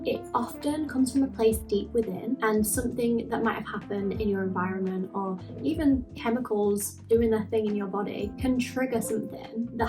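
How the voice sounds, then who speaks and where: A woman vlogger with a raspy, hoarse voice from feeling under the weather, giving a gentle, encouraging one-on-one pep talk. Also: mid-range voice, low-quality recording.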